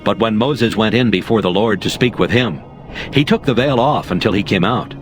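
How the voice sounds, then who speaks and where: A man narrating scripture in a steady, formal storytelling manner. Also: lowish voice, moderate pace. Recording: soft music underneath.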